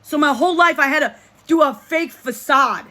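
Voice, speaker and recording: A person in their thirties vlogs to camera with a forceful, bright-edged voice that they describe as deep. They are emphatic and repeat themselves, circling back and restarting phrases as they press a point. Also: moderate pace. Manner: speaks in a self-described deep voice. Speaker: vlogger speaking to supporters. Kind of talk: casual monologue to camera.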